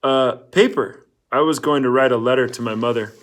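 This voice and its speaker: A man's voice: mid-range voice, normal speed, fairly bright tone, close to an everyday voice. A man reading a storybook, speaking as a tired, mild and wary teenage boy in a subdued, plain way.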